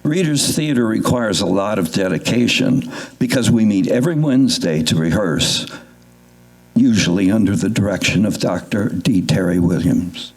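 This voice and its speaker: An older man presenting at a live readers theatre event, giving thanks and announcements in a measured, formal way. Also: lowish voice, animated, a little hiss or hum, low-quality recording. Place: indoor live theatre event, speaking to an audience.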